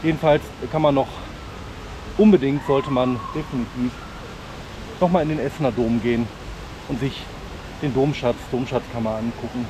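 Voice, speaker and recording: A man's voice: mid-range voice, normal speed, long pauses, noisy background. Chatty man walking outdoors. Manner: chatty, frank, with fillers and regional slang. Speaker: vlogger, urban hiking guide. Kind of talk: casual walking vlog commentary. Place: outdoors on city streets and parks. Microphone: chest-mounted action camera.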